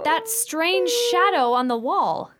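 A woman's voice: sits high, expressive, slow pace. A woman acting an anxious, easily alarmed sister in a radio play, full of urgent questions.

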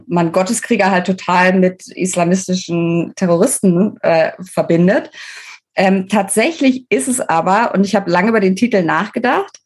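A woman's voice: lowish voice, darkish tone. A woman, an author explaining things conversationally as an interview guest.

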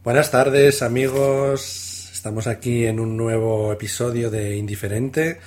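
A man co-hosting a casual music podcast, introducing songs in a relaxed, halting way. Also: medium pitch, slight background noise, natural voice. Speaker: podcast co-host. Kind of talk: casual radio chat, playing another show's host.

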